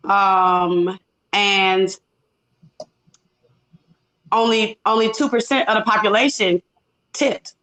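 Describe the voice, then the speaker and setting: A woman's voice: medium pitch, steady tone, takes it slowly, long pauses, fairly bright tone, poor audio. A woman in her forties from Detroit, a singer chatting as a guest over a video call. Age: 40s. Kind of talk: casual video call interview.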